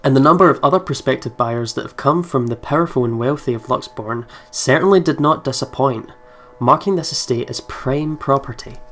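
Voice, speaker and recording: Male game host, medium pitch, narrates visual novel text with casual asides, soft music underneath. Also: brisk. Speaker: let's play host. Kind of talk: reading game narration aloud with casual commentary.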